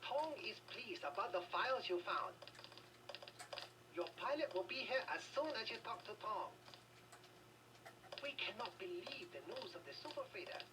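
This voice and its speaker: An actress playing a solemn aide in a secret Hong Kong compound in a video game, on a noisy distant capture. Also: female voice, mid-range voice, animated, normal speed, pauses often, lightly acted.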